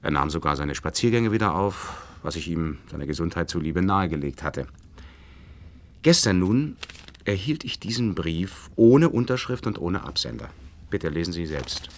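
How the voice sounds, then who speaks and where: A man's voice: low voice, very animated, moderate pace. A man in a German radio play, playing an educated, polite nerve doctor who speaks formally and a little hesitantly. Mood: measured recounting. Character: educated male nerve doctor, courteous, measured, mildly sceptical. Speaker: actor in a radio drama. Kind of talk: German radio play acting, recounting and dialogue.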